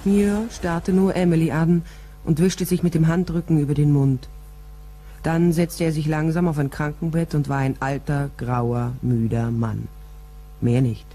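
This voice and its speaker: A woman's voice: deep voice, fairly even intonation, a little slow, warm tone. An actress playing a tough female private detective, narrating a radio crime drama in terse, matter-of-fact sentences. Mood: tense, matter-of-fact. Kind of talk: first-person radio play narration.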